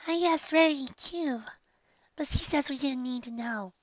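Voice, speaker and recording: A narrator voicing a sweet, curious little female unicorn filly in a gentle, childlike way. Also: high-pitched, normal speed, muffled recording, voice pushed up, lightly acted. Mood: glum.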